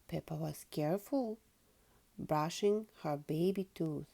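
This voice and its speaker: A woman reading a children's story aloud in an expressive, deliberate storytelling manner. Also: low-pitched, gravelly. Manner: animated, slow and deliberate.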